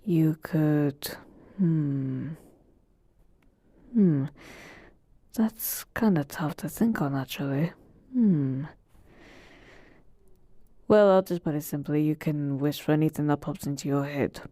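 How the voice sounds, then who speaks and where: A woman's voice: deep voice, slow pace, long pauses, dark tone, heavily acted. A woman voicing an ancient genie of the lamp in a roleplay audio, weary yet playful, moving between grand servitude and casual sass. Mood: patient, musing. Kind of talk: roleplay audio acting addressed to the listener.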